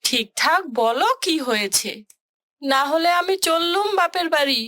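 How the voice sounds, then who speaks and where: A woman's voice: high voice, lively intonation, unhurried, sharp tone, no room sound, studio quality, exaggerated voice. A woman voicing a sarcastic, nagging, practical tigress wife in a children's cartoon. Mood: impatient. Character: sharp-tongued, practical tigress wife scolding her husband. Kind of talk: children's animated story acting.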